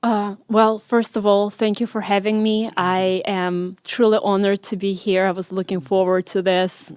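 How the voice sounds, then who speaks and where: A woman in her forties from Russia with a Russian accent, telling her story as an interview guest with many pauses and ums. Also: medium pitch, level tone, a little mellow, smooth, no room sound. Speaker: guest, medical researcher. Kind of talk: conversational interview.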